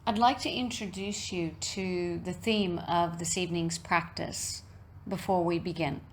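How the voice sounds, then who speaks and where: Woman yoga teacher doing guided meditation, really slow n deliberate, lots of pauses, bit of background noise. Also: fairly low pitch, fairly even intonation. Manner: measured, deliberate phrasing with frequent pauses. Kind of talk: guided meditation talk, reflective and instructional.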